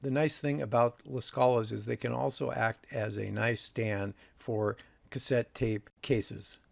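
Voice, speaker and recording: An older man in his sixties narrates a photo slideshow in a measured, reminiscing manner. His voice sits at a middling pitch with a lot of gravelly fry. The recording is very dry, and his delivery carries understated humour. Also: fairly even intonation, no echo. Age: 60s. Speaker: video host, audio reviewer.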